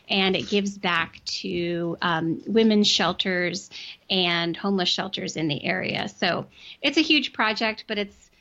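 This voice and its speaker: Woman, podcast guest, crisp bright tone, a little hoarse, dull lo-fi recording. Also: mid-range voice. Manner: chatty, many ums and restarts. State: losing her voice, clearing throat. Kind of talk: casual interview chat.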